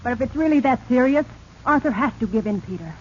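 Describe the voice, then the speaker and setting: A woman's voice: high-pitched, lively intonation, quick pace. A woman playing a ruthless, ambitious wife in a 1940s radio drama, urgent and goading. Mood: insistent goading. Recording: muffled, noisy 1940s radio recording.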